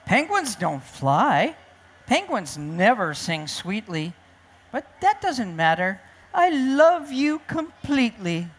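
Woman voicing a husky, loving mother tree kangaroo. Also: on the low side, wildly swooping pitch, slow pace, a bit bright, exaggerated voice. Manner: earnest, tender storybook delivery.

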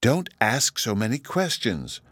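A prim, caring but bossy young girl acted out by a man in a storybook reading. She speaks carefully and chidingly in a bright, lilting voice. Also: mid-range voice, wildly swooping pitch, unhurried, dry recording, clean studio sound, heavily acted.

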